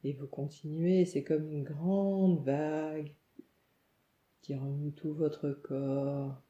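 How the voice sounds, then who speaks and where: A woman leads a guided relaxation meditation, calm and soothing, giving gentle instructions in short phrases with pauses. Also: low voice. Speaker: yoga nidra meditation guide. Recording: muffled, echoey room sound.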